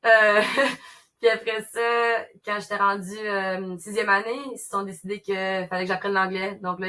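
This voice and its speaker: Femme d'Ottawa, voix vive et claire. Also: female voice, medium pitch, bright, forceful tone. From Canada, Ottawa. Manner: chatty, with fillers and laughs. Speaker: guest, guitar teacher. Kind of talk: casual interview answers.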